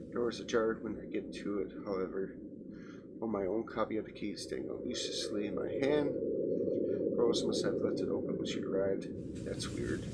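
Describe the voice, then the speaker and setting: Heavy noise and game ambience sit behind a man reading story text aloud for a let's play. His voice is low with a lot of vocal fry, and he stumbles over words as he goes. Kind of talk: reading game narration aloud with casual asides. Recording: loud background noise, game audio.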